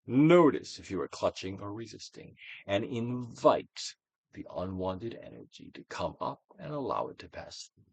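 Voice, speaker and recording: Male narrator, low gravelly voice, theatrical and deliberate reading of self-help instruction. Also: big pitch swings, no room sound. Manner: theatrical, deliberate actorly delivery with emphatic swells and hushed drops. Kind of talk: theatrical dramatic reading, guided-meditation instruction.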